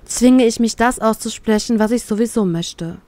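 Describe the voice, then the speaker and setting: A woman's voice: a little high, moderate pace, dark tone, no room sound. A woman narrating a scripted first-person story as an audiobook. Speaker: narrator of a fan fiction story.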